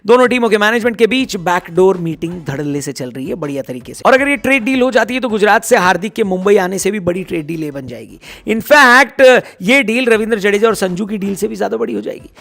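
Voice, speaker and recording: A hoarse man giving casual cricket news commentary, chatty and teasing as he talks straight to his viewers. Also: high voice, expressive, fast, few pauses, fairly bright tone.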